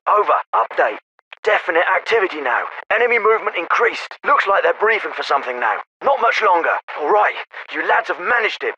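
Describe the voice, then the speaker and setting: An actor playing a brisk, coolly impatient special forces commander in a military game, giving authoritative, urgent orders through a radio filter. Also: male voice, high voice, pushed and bright, breathy edge, highs cut off, heavily acted. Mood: brisk, commanding. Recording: band-limited, hissy military radio transmission.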